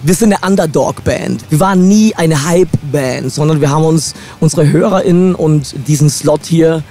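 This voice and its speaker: A young man with a hoarse, slightly high voice speaks as a guest musician. He is relaxed and reflective, talking into a handheld mic inside a van, with faint music behind him. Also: normal speed. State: hoarse from shouting. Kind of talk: casual interview.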